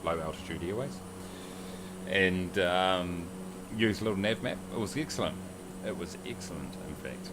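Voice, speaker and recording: A man's voice: deep voice, normal speed, very noisy. A man chatting casually on a livestream, joking and reading viewer comments aloud as he flies. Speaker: streamer. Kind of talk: casual livestream chat while flying a sim.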